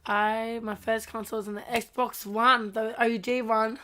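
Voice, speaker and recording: Slow, flat-toned woman, slightly sick. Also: fairly high pitch. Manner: brief, agreeing interjections. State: mildly sick. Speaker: podcast co-host. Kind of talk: casual chat.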